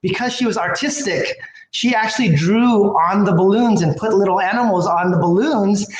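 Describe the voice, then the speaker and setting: A man's voice: sits high, normal speed, crowd noise. A man who runs a housing company, speaking as an interview guest, enthusiastic and rambling with many fillers and restarts. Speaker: company president and CEO, guest. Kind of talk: casual interview talk. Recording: roomy with faint background noise.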